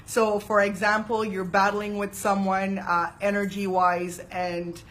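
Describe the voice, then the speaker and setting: A woman from Trinidad and Tobago giving a casual spiritual talk, chatty and animated, often checking in with "right?". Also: medium pitch, a little slow. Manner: chatty, animated, frequent uh fillers and "right?" asides. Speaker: spiritual teacher.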